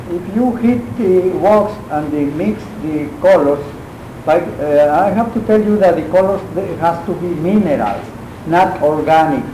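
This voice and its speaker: Slow, halting man with a Mexican accent. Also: on the high side. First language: Spanish. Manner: halting, searching for English words with many pauses. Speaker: guest painter lecturing. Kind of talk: art lecture. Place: university lecture room. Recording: echoey classroom with noise.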